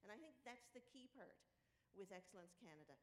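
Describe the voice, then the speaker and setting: A woman's voice: lively intonation, brisk. Administrator woman in a reverberant hall. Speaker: university administrator. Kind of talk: answering an audience question. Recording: hall reverb with faint rumble.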